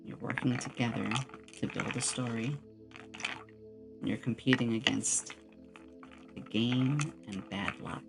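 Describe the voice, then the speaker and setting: A creaky-voiced streamer talks casually to viewers in a rambling, storytelling way. The pitch sits in a middle range, with a gravelly edge. Loud music plays behind the voice. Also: steady tone, slow, pauses often, poor audio. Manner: rambling storyteller, acts out short quotes. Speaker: streamer, VTuber host. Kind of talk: relaxed ASMR stream.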